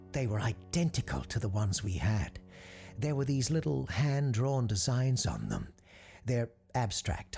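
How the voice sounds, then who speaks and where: A low male voice narrates an eerie audio drama in a grave, deliberate way. The delivery is measured yet moves widely in pitch. Faint music plays underneath. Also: a little mellow. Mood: wistful, unsettled.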